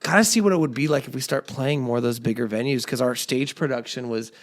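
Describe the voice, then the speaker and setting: A man with a slightly raspy voice tells band stories in a loose, rambling way. He restarts his sentences often and talks with a middle pitch. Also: fast. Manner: rambling storyteller, many fillers and restarts. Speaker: podcast host and band singer. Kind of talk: casual chat among bandmates.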